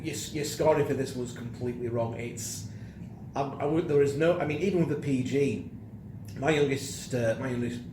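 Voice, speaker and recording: A man's voice: mid-range voice, normal speed. A middle-aged man chatting casually as co-host of a film review show. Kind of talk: casual chat. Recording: roomy echo, clearly audible background noise.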